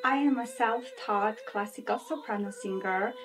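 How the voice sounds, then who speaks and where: A woman's voice: fairly high pitch, normal speed. A woman in her thirties from Romania, a classical soprano and non-native speaker, answering interview questions with many fillers and restarts. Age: 30s. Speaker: guest singer. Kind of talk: interview answers and recorded intro.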